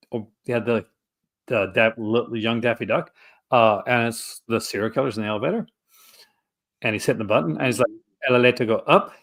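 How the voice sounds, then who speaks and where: Brief: a man co-hosting a casual live-stream chat, mid pitch, rambling, laughs a lot. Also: fairly bright tone. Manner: rambling and laughing often, breaks into quick character voices. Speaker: co-host. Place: home setup for a live stream. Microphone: desk mic for a live stream.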